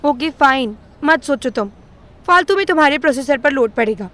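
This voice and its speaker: A woman's voice: high voice, animated, normal speed, a bit bright. A woman playing a feisty, impulsive young woman in an audio drama, sassy and sarcastic, mixing Hindi and English. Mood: sarcastic, demanding.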